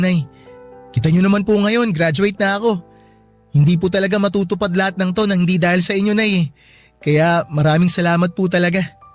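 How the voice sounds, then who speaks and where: Man as a young Filipino son, high male voice, respectful, sincere, grateful, radio drama acting, faint music underneath. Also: a little mellow, close to an everyday voice. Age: young adult. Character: grateful, earnest young graduate son devoted to his mother.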